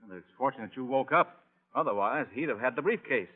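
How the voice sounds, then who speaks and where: An actor playing a self-important, blustering and indignant doctor in a 1940s radio drama. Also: male voice, mid-range voice, lively intonation, a little fast, lightly acted. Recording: tinny phone-like old radio recording.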